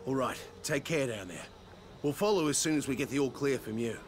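A man's voice: medium pitch, lively intonation, brisk, background noise, natural voice. An actor playing an earnest, cautious male survivor in a video game. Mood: concerned. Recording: game audio under loud music.

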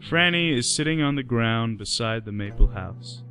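A man's voice: medium pitch, lively intonation, normal speed, pushed and bright, soft background music. A man narrating a scripted radio play in the present tense.

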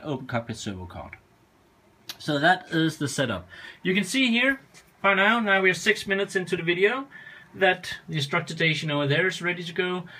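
Halting, fairly high-voiced man explaining. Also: pushed and bright, husky. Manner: hesitant, searching for words. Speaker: home cockpit builder. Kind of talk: casual walkthrough of his setup. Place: home flight-simulator cockpit, indoors.

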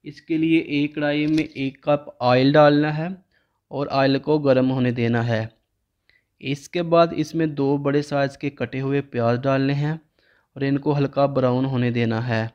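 Man, cooking voice-over, monotone, instructional, mid-pitched. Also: a little slow, clear, resonant tone, dull audio. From Pakistan. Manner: steady step-by-step instructional tone. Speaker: cooking channel host. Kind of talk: recipe voice-over narration.

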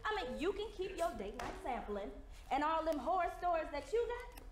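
Very high-pitched, prim young hotel woman acted onstage. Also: big pitch swings, a little fast. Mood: prim, defiant. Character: prim, indignant, principled young female hotel worker. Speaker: stage actor. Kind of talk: broad comedic stage acting. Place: indoor theater stage. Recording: echoey noisy theater stage.

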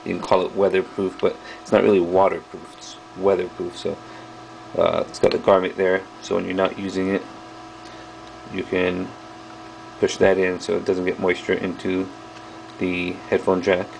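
A man giving a casual hands-on product review, speaking haltingly in short pieces as he handles things. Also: deep voice, monotone, vocal fry, darkish tone, a little hiss or hum, rough recording. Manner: halting, piecemeal delivery while handling items. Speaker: video host reviewing old electronics. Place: small indoor workroom at a bench.

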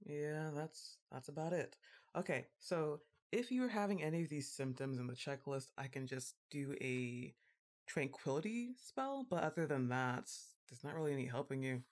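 A woman playing a goth giantess witch girlfriend, exasperated and fondly bossy, with a drawn-out teasing delivery in an intimate ASMR roleplay. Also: deep voice, lively intonation, a little mellow. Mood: resigned. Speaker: audio roleplay performer.

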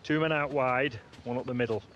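A man's voice: medium pitch, brisk, a bit bright, lo-fi sound, a little hiss or hum, lightly acted. Middle-aged football coach, acted by a man. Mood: firm, instructive. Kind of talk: video game cutscene dialogue.

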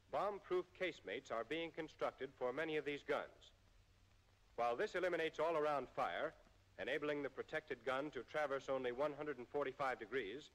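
Higher-pitched American male narrator, reverberant film sound. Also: a bit bright. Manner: formal, measured, precise enunciation. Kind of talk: scripted military instructional narration, 1940s newsreel style.

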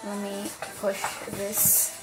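A woman chatting casually while unboxing an album at her desk, rambling with lots of fillers and her voice going hoarse. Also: mid-range voice, monotone, slow pace, over loud music, low-quality recording. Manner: rambling, many fillers and restarts. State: losing her voice. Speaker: unboxing vlogger.

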